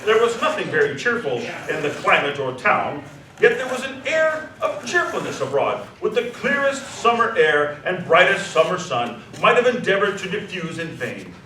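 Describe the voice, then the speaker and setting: A man narrating a scripted story dramatically, savoring the descriptive words with expressive delivery. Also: sits high, normal speed, heavily acted. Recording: echoey hall, distant mic, faint noise.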